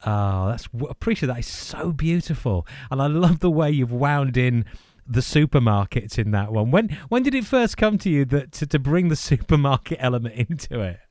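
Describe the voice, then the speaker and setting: A man presents a live radio interview in an encouraging, enthusiastic way. His middle-pitched voice sits close on the microphone in a very dry studio. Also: sing-song, normal speed, a little mellow, dry recording. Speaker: radio host.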